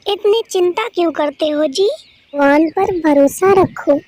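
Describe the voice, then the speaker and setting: A woman playing a devout, patient farmer's wife in a cartoon story, gently reassuring. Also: extremely high pitch, unhurried, slight background noise.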